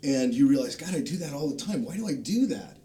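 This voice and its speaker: A man with a soft-edged, mellow voice at a middle pitch talks casually as a panel guest. He feels his way through sentences with ums and pauses. A low rumble of noise sits behind him. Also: lively intonation. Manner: hesitant, halting delivery with frequent ums and long pauses. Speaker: guest, game designer. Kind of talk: casual panel chat, recommending video channels.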